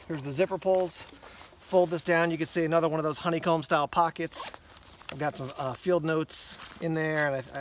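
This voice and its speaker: A man giving a casual hands-on gear walkthrough outdoors, pausing with ums and correcting himself as he talks. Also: on the high side, a bit bright, a little hiss or hum. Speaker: gear reviewer. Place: outdoors on a rock among plants.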